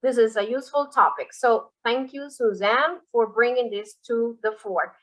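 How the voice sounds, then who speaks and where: Higher-voiced woman moderating a webinar. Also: normal speed, a bit bright. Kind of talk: reading out an audience comment. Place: indoors, online video call.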